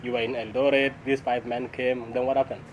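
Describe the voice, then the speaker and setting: Male host over clear street noise. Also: medium pitch, level tone, fairly quick. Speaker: interview show host. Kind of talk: conversational interview hosting. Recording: outdoor traffic noise behind voice.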